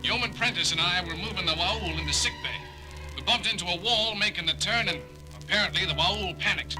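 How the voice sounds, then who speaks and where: A cranky ship's doctor, voiced by a man with a fairly high voice that gripes bluntly at everyone. Music sits clearly under his lines in this 1970s record drama. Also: brisk, natural voice. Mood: grumbling. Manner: grumbling and blunt. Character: gruff irritable male ship's doctor.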